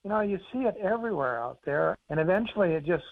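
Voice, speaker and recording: A man with an American accent speaks over a tinny phone line. He explains things in an easygoing, chatty way, now and then with a chuckle. His voice sits a little high for a man. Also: expressive, fast talker, creaky. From United States. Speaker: author and conservation biologist on the phone. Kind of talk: phone interview on radio.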